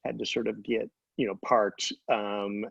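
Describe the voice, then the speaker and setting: A man chatting casually on a panel, halting and searching for his words as he recalls things. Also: on the low side, level tone, creaky, slightly rough, no room sound, lo-fi sound. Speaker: TV writer and producer on a panel.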